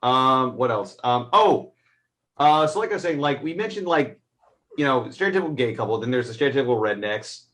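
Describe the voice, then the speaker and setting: A man's voice: medium pitch, lively intonation, a little fast, a bit bright. A man chatting casually as co-host of a film review, rambling as he retells scenes.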